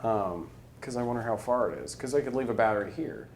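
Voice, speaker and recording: A man's voice: medium pitch, quick pace, a loud crowd. A man chatting casually as a player in a tabletop role-playing game. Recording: roomy, echoing, noisy background.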